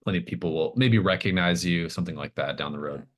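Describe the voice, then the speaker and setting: Male game master, extremely low voice, improvising tabletop narration, hesitant and conversational. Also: fast talker, crowd noise. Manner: hesitant, thinking aloud.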